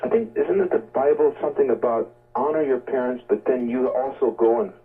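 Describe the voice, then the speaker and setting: A man's voice: mid-range voice, a little fast. A man giving an unscripted, rambling and emphatic health talk on the radio, exhorting listeners, heard over shortwave. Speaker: guest promoting health supplements. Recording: phone-like shortwave radio, distant from mic.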